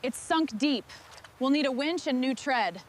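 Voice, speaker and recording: A woman's voice: high-pitched, animated, unhurried. A woman playing a determined mechanic who leads a machinery crew, speaking in terse, focused muttering and commands. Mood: focused, appraising. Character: determined hands-on female mechanic leading a heavy machinery restoration crew. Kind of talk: scripted workplace dialogue with muttering and commands. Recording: loud machinery and vehicle noise behind.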